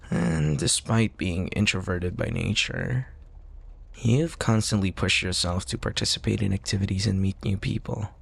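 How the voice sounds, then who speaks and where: A man playing an affectionate, playful university student best friend in an intimate roleplay, talking softly and a little hesitantly in a husky voice. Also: fairly low pitch, normal speed, full character voice. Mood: tender, sincere. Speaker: audio roleplay voice actor. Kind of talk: intimate boyfriend ASMR roleplay.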